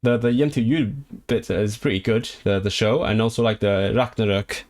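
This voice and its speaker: A man's voice: fairly low pitch, moderate pace, darkish tone. A man streaming casually about learning languages, talking in a rambling way with many restarts and fillers, eager and enthusiastic about the subject. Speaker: language livestreamer. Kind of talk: casual livestream chat, reading viewer comments.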